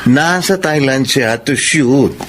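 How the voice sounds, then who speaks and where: A man's voice: mid-range voice, lively intonation, takes it slowly. A man playing a flamboyant, gossipy modeling agent in a Tagalog radio drama, effusive and breezy. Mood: breezy, matter-of-fact. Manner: effusive, gossipy, code-switching. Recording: clear background ambient noise.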